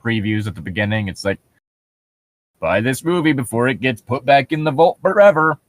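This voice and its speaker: Man chatting with lively, swinging pitch. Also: moderate pace, no echo. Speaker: podcast co-host. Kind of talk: casual comedic chat while reading horror stories aloud.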